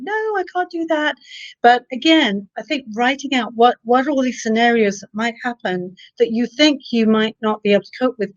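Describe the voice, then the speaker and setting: A woman's voice: on the high side, no echo. A woman coaching a guest in a live video chat, encouraging and practical, fond of old sayings. Her voice comes through dry and a little dull, with no room around it. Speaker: psychic coach and live show host.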